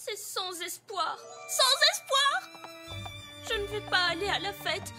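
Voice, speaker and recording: A tiny, very high voice brings an excitable young cartoon filly to life. She is dramatic and impatient, repeating herself in exclamations and pleas. Music plays behind her. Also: slow pace, full character voice. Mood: despairing. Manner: pleading, exclamatory, repeats words. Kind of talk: animated children's cartoon dub.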